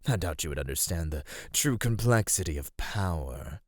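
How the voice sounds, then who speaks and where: A man playing an ancient male serpent-like monster in an ASMR roleplay, patient, menacing and teasing, with deliberate, ornate speech. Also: low voice, animated, dry recording, studio quality. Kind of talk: ASMR roleplay, scripted acting.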